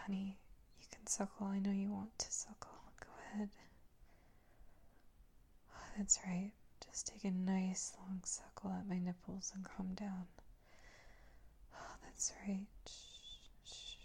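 Breathy, slow-whispering domineering woman, acted by a woman. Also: mid-range voice, flat delivery, mellow, exaggerated voice. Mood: soothing, possessive. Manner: slow, coaxing, condescendingly tender. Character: domineering, cooing woman who soothes and commands an adult man. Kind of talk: intimate adult roleplay ASMR.

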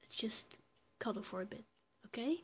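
A woman playing a breathy, whispering small girlfriend. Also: fairly high pitch, animated, normal speed. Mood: tender. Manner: stammering, rambling, giggly. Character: small affectionate young woman, shy but sweary lover. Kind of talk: whispered intimate roleplay audio.

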